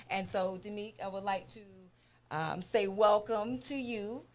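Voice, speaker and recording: Slow, deliberate woman hosting a talk show. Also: mid-range voice. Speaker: talk show host and wellness coach. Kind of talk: motivational radio show introduction.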